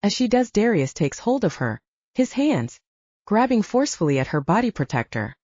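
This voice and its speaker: A woman's voice: mid-range voice, very animated, normal speed, a little mellow, no echo. A woman reading scripted dramatic fiction as an audiobook narrator, on a slightly dull recording.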